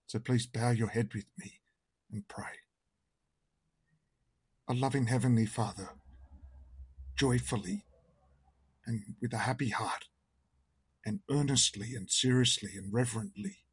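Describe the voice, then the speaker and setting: A man with a hoarse, croaky voice, leading an online worship service, reading a hymn and praying in a slow, earnest and reverent manner. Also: medium pitch, steady tone.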